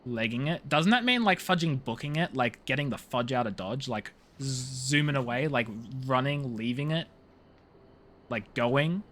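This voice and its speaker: A man's voice: mid-range voice, animated, measured pace, fairly bright tone. A man live streaming a video game, talking casually and jokily in slang with playful mock outrage. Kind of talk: casual chatty game commentary.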